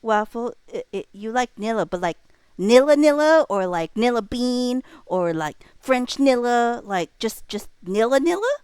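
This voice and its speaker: A woman's voice: a little high, expressive, measured pace, a little mellow, clean tone, no room sound. A woman hosting a livestream, chatting casually and playfully with viewers in a rambling way. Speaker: livestream host. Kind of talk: casual chat with live viewers.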